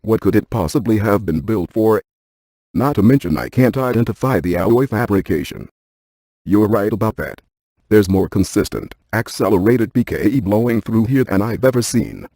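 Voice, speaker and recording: A man playing a brainy, stiff ghost-hunting scientist in a video game, speaking in a precise, clinical deadpan. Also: fairly low pitch, normal speed, no room sound. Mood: deadpan, analytical.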